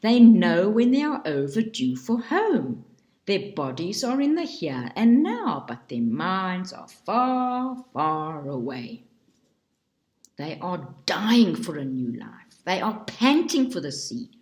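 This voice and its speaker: A woman reading a book aloud in a slow, deliberate and expressive way. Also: fairly low pitch. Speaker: reader. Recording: roomy echo.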